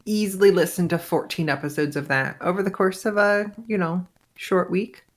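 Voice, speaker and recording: A woman talks casually on a listening stream. Her voice is slightly low, and she muses analytically, pausing and rephrasing as she goes. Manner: musing, halting as she works out ideas.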